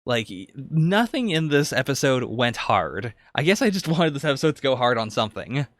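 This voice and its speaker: A man's voice: mid-range voice, sing-song, normal speed, fairly bright tone, no room sound, clean studio sound. A man co-hosting a podcast, talking casually and conversationally in a review discussion. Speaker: podcast co-host.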